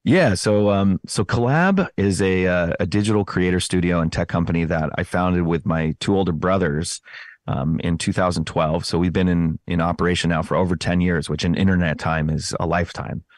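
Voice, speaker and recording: A man giving casual, conversational interview answers, often pausing and correcting himself as he finds his words. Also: low voice, dry recording, clean studio sound. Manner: hesitant phrasing, self-correcting, chatty. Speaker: podcast host appearing as interview guest.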